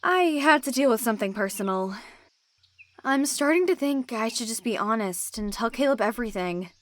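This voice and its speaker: A woman's voice: a little high, lively intonation, normal speed, close to an everyday voice. A woman playing a protective young supernatural woman who keeps secrets, earnest and pleading, in amateur roleplay acting. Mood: serious, concerned.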